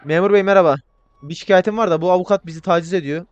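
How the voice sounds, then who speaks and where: A man's voice: high voice, racing, clear, resonant tone, dry recording, lo-fi sound. A man playing an online roleplay game, bantering crudely with heavy swearing and flips into mock politeness while provoking other players. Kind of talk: casual gaming banter, trolling other players.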